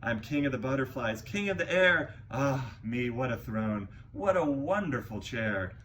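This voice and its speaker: Storybook tyrant turtle king, voiced by a man: boastful, imperious, voice leaping high and loud, noisy home-style recording. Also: animated, unhurried. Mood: gloating. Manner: imperious, shouting and gloating in rhymed verse.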